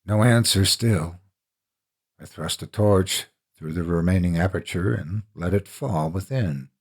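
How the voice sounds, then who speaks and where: A man narrating a classic horror story in a theatrical, deliberate, dramatic manner. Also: sits low, husky.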